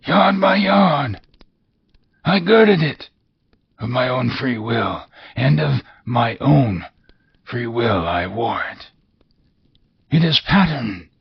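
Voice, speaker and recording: Wailing chained ghost. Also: male voice, vocal fry. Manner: high quavering wail, rising to cries. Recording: dull lo-fi recording with faint hiss.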